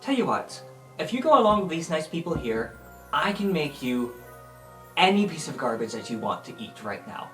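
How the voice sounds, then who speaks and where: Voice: mid-range voice, big pitch swings, normal speed, fairly bright tone. A player on a tabletop roleplaying podcast, improvising character actions and lines casually. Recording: roomy echo, music behind.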